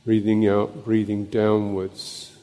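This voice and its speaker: In a long, echoing hall, a man with a lowish voice guides a meditation. He speaks very slowly as a monk teaching, placing short phrases between pauses. His manner is contemplative and halting. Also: monotone, warm tone. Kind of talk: guided meditation and Dhamma talk. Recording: long reverberant hall echo.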